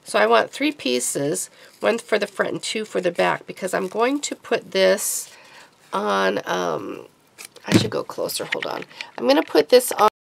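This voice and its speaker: A woman talking through a crafting project at her home table, chatty and meandering, often correcting herself. She has been sick, so her voice sits low and gravelly with vocal fry. Faint background noise runs under the recording. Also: sing-song, unhurried. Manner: chatty, rambling, self-correcting. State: sick with a deepened voice. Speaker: craft vlogger. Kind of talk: casual craft tutorial.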